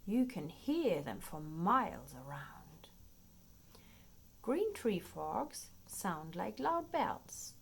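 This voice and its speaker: A woman reading a children's nature book aloud in an expressive, playful storytime manner, paced for young listeners. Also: lowish voice, drawn-out words, creaky, a little hiss or hum. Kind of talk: read-aloud children's nonfiction storytime narration.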